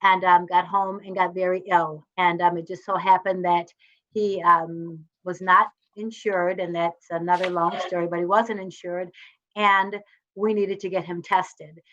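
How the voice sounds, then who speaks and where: A woman's voice: lowish voice, flat delivery, measured pace, a bit bright, lo-fi sound. A middle-aged woman telling a personal story on a panel, speaking earnestly from the heart. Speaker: entrepreneur, panel guest.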